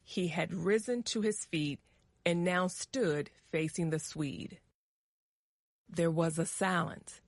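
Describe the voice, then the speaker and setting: A woman narrating a short story for English learners with deliberate, careful phrasing. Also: fairly low pitch, no echo, studio quality.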